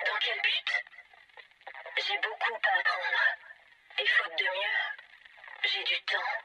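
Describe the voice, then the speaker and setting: High, brooding female AI guardian. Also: creaky, bright, forceful tone, a little hiss or hum, exaggerated voice. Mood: wistful, questioning. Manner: measured, brooding, philosophical, questioning. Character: melancholic, pensive female AI guardian of an ancient alien installation. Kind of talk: scripted dramatic game monologue.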